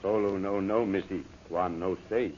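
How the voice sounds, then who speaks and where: A man plays a protective, cautious native guide in an old radio adventure. His deep voice delivers short pidgin English phrases. The recording is dull, with a faint noisy bed. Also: steady tone, normal speed, a little mellow, natural voice. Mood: wary. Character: loyal, cautious native guide guarding a young woman. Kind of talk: 1930s radio serial acting.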